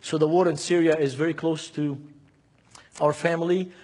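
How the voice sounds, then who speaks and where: A man's voice: on the high side, fairly even intonation, normal speed, a little mellow. A man from Lebanon with a Lebanese Arabic accent, preaching at a seminar with emphatic repetition, acted-out dialogue and rhetorical questions. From Beirut, Lebanon. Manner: emphatic, acts out dialogue, repeats key phrases.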